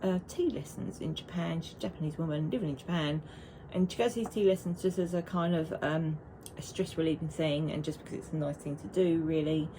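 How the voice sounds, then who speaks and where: A woman's voice: deep voice, fairly quick, mellow, a little hiss or hum, low-quality recording. A woman, chatting casually and rambling to camera as a knitting vlogger. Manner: chatty and rambling, with frequent restarts.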